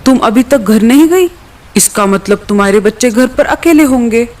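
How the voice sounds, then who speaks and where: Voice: medium pitch, expressive, normal speed, a little mellow, close to an everyday voice. An actor voicing a friendly, concerned male crow in a cartoon, with an earnest, emphatic delivery. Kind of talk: Hindi cartoon dubbing.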